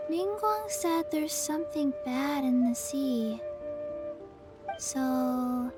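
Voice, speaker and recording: A woman voicing a small undead girl who talks in short, clipped phrases and calls herself by name. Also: high-pitched. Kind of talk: game cutscene voice acting. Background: game music.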